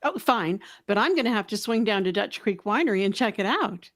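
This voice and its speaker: A woman's voice: mid-range voice, lively intonation, a little fast, fairly bright tone. A woman acting as an announcer's sidekick in scripted radio sponsor banter, inquisitive and a little theatrical.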